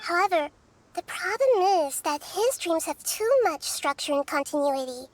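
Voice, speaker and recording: A woman voicing a wise, composed child deity in a video game, gently curious and explaining things thoughtfully and articulately. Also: very high-pitched, lively intonation, normal speed, dry recording. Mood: explanatory. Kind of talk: voice-acted game story dialogue.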